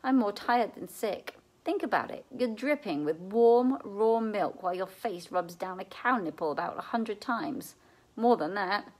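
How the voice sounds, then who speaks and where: A woman's voice: mid-range voice, lively intonation, a little slow, low-quality recording. Woman reading as a practical, bossy young girl. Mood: breathless, weary.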